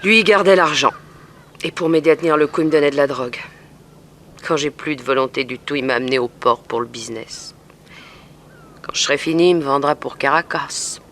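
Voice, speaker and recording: A woman's voice: deep voice, fairly quick, long pauses, fairly bright tone. Downtrodden young woman of the streets, plaintive and plain-spoken, slightly noisy old radio play. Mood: bitter resignation. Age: young adult. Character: weary, frightened, submissive young streetwalker under a pimp's hold. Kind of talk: 1960s French radio drama acting.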